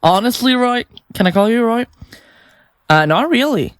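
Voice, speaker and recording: An actress plays a chirpy, remorseless woman who kills for her podcast. Her delivery is very animated and theatrical, sliding up and down with blithe self-regard. It is audio drama acting in a dry recording space. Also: fairly high pitch, normal speed, no room sound, lightly acted. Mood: feigned innocence. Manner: blithe, chatty, flippant, theatrical. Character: chirpy, self-absorbed female podcaster and remorseless serial killer. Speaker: suspect under interrogation.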